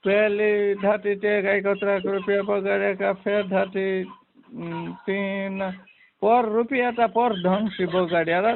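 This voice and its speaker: A man with a creaky voice talking casually on a phone call in a rambling, repetitive way. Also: sits high. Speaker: prank call participant. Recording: narrow phone line with faint noise.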